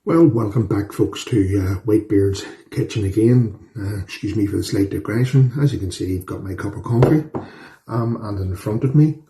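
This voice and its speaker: A man's voice: lowish voice, normal speed, dark tone. A man from Northern Ireland with a Northern Irish accent, hosting a casual home cooking demonstration in a chatty, folksy, self-deprecating way. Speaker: cooking channel host. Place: home kitchen.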